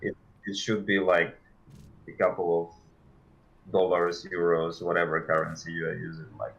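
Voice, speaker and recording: A man's voice: low-pitched, monotone, normal speed, creaky, a bit bright, dull audio. A man explaining things casually and a little hesitantly as a developer guest on a game livestream.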